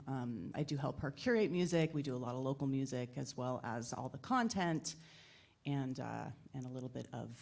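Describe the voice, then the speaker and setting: Low-pitched woman radio host on a panel. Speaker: radio host and assistant program director. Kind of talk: spontaneous self-introduction on a live panel. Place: live event at a playhouse.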